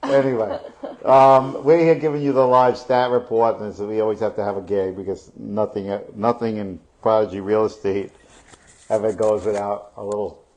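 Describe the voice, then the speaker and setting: A man's voice: lowish voice, steady tone, normal speed, darkish tone. A middle-aged man co-hosting a casual real estate stats chat, rambling with many fillers and restarts and laughing often. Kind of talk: casual live stat chat.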